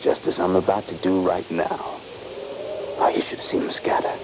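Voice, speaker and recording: A man's voice: low voice, flat delivery, normal speed, close to an everyday voice. An actor playing a bold, eager young Union Army soldier in a radio drama, confident and dramatic in his urging. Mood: eager, reminiscing. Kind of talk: radio drama acting with first-person narration. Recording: dull, noisy 1970s radio recording.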